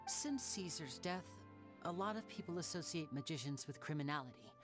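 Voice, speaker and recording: A man voicing a charming, playful young stage magician in a game, smooth and theatrical. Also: a little high, animated, moderate pace, over music, lightly acted. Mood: suave. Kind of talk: game cutscene voice acting.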